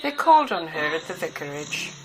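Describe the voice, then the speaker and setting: A woman's voice: deep voice, sing-song, brisk, over music, poor audio. A woman reading scripted literary narration as the narrator of a dramatized novel.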